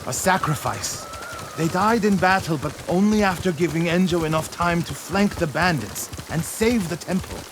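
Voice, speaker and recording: An actor playing an earnest, stubborn, devoted warrior monk in a dramatic video game, speaking gravely. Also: male voice, fairly high pitch, lively intonation, normal speed, background noise. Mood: proud, bitter.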